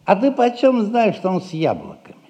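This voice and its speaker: An actor playing a tipsy, shifty gardener with feigned dignity, grumbling evasively in a theatrical 1960s audio play. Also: male voice, sits high, wildly swooping pitch, fairly quick, dark tone, dull audio. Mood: grumbling, defensive. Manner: evasive, self-righteous grumbling.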